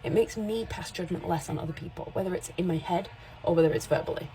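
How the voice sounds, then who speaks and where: A woman in her forties talks straight to camera in a casual, candid vlog. Her voice sits a little low and sounds mellow and relaxed. She rambles and corrects herself mid-sentence as she makes her point. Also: fast talker, a little hiss or hum, low-quality recording. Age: 40s. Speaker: makeup artist and creator.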